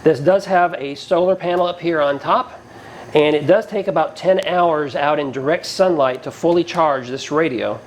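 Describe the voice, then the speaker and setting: A man's voice: a little high, moderate pace, slight background noise. A man giving a casual, plainspoken product review to camera, explaining features as he goes, recorded inside a garage. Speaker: product reviewer, channel host. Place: inside a cinder-block garage.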